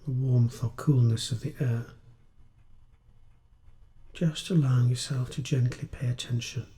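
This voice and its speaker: Mellow-voiced man guiding meditation. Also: medium pitch, fairly even intonation. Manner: gentle, soothing, unhurried delivery with pauses. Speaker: meditation teacher. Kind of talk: guided meditation.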